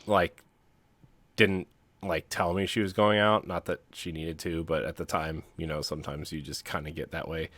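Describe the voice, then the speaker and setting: A man co-hosting a podcast, telling personal stories casually in halting, broken-up phrases. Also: rumbling low, flat delivery, fairly bright tone, no echo. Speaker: podcast co-host.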